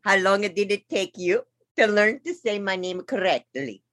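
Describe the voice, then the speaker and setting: Woman playing jovial Italian squirrel, lively sing-song lilt, dry close mic. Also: mid-range voice, normal speed, no room sound. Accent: Italian (put on). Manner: theatrical, lilting, sing-song delivery. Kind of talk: comedic character call-in.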